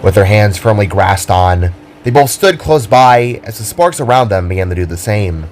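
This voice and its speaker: A man's voice: fairly low pitch, animated, normal speed, slight background noise. A man reading scripted story narration as the narrator of an audio drama, with music behind him. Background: background music.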